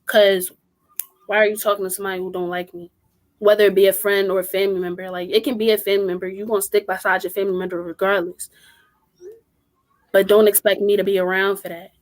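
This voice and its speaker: A young woman speaks her mind on a podcast in a blunt, emphatic way. Her voice sits at a medium pitch, and she talks like someone who is strong-willed and sure of her opinions. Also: little pitch movement, fast. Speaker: podcast co-host. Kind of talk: casual group discussion.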